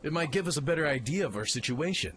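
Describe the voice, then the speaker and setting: A man's voice: a little high, lively intonation, fast talker, noisy background, lightly acted. A man playing a cocky, self-reliant young mech pilot in a radio play, with a brash, swaggering delivery. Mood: curious, eager.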